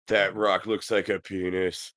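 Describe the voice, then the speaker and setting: A man's voice: low-pitched, fairly bright tone, no room sound, studio quality. A man joking around irreverently in a casual film commentary. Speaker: commentary track participant.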